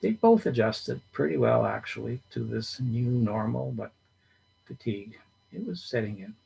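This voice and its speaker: A man reading a short story aloud in a steady storytelling manner. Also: mid-range voice, normal speed, gravelly, breathy edge, rough recording. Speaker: reader.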